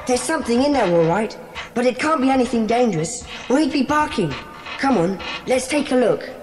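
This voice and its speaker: A woman playing a brisk, decisive schoolboy who leads a secret club in a dramatised children's audiobook. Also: a little high, lively intonation, music in the background, natural voice, poor audio. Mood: cautious, excited. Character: bossy, sensible schoolboy leader of a secret club.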